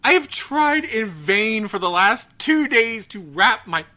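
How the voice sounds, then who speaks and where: A man chatting casually as a podcast host, ranting in an animated, profane way. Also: high-pitched, takes it slowly. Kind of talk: casual chat about gaming news.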